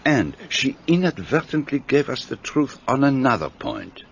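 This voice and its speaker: An actor playing a precise, courteous middle-aged detective in a radio play. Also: male voice, medium pitch, huge pitch swings, normal speed, vocal fry, slightly rough, full character voice. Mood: explaining. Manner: courteous, precise, slightly formal foreign phrasing. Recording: dull lo-fi sound.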